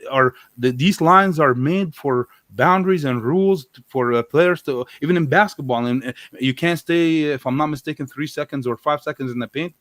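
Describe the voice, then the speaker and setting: A man's voice: fairly high pitch, normal speed, hardly any pauses, fairly bright tone, dry recording. A man in a casual, argumentative discussion as a guest commentator, often repeating words and rephrasing as he builds his point. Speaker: guest commentator on a religious live show.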